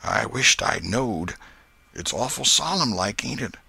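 A man voicing a superstitious country boy in a storybook, hushed with dread and drawling in rustic dialect. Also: mid-range voice, expressive, unhurried, vocal fry, slightly rough, no room sound, voice pushed up, exaggerated voice. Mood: solemn, hushed.

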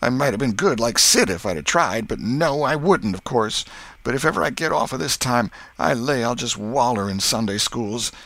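A man voicing an excitable, superstitious young boy in a storybook, speaking a rustic dialect with whispery urgency. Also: medium pitch, moderate pace, dry recording, pitched above the performer's own voice. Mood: remorseful.

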